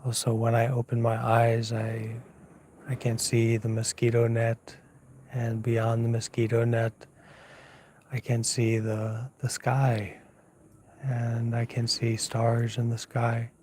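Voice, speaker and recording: A man teaching as a monk leads an unscripted guided meditation in a hushed, soft, slightly husky voice, unhurried and pausing often as he gently gives instructions. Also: medium pitch, completely flat delivery, slight background noise. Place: outdoors at night, lying down under a mosquito net.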